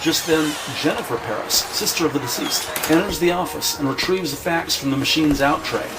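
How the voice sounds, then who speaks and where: A man's voice: a little high, brisk, noisy background. A man narrating a scripted police drama in a precise, descriptive manner. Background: music.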